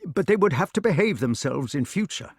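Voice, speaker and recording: Man doing an older king, kindly, earnest, worried, raised pitch, dry. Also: animated, brisk, slightly rough, dry recording. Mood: hopeful.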